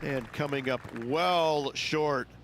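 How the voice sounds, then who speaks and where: A man's voice: on the high side, takes it slowly, a loud crowd, very noisy. A man playing a folksy, upbeat golf broadcast partner in a video game, commenting in short phrases. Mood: observant.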